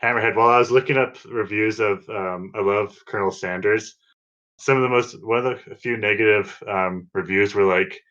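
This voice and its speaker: A man with a fairly low voice chats casually as a podcast co-host. His delivery is informal and conversational. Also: steady tone, normal speed, a bit bright, highs cut off. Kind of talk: casual chat.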